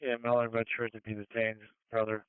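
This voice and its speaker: A man's voice: medium pitch, completely flat delivery, quick pace, narrow, tinny audio. A man giving terse police dispatch traffic over a two-way radio on a scanner feed.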